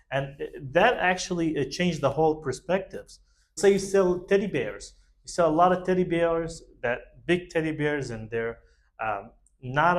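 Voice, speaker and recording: A man with a medium-pitched voice hosts his own informal talk to viewers. He explains ideas in a halting, rambling way. His phrasing has the loose grammar of an English speaker. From Middle East. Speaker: host of a concept talk show.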